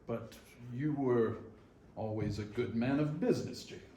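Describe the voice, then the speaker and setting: A man's voice: medium pitch, moderate pace, dark tone, natural voice. A man playing a skeptical, uneasy old miser in a Victorian readers theater ghost story, wry and hesitant. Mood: uneasy, questioning. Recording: echoey room, faint noise.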